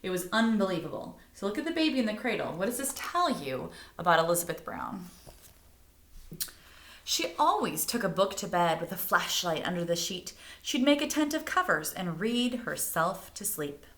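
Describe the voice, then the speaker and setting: A woman reading a picture book aloud like a teacher, expressively, pausing to explain things and put questions to young listeners. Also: medium pitch, sing-song, a bit bright, a little hiss or hum. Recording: roomy, echoing indoor sound.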